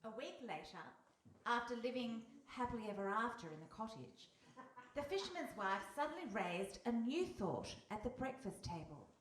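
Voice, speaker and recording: Lively woman narrator in echoey hall. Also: fairly high pitch, moderate pace. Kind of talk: scripted storybook narration. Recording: echoey hall, faint noise.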